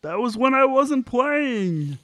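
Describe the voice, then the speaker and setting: A man puts on a placating, wry sitcom husband with a very high, sharp voice. He whines and pleads at an unhurried pace. The sound is dry and close. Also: sing-song, no echo, heavily acted. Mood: sheepish. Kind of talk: comic sitcom table read.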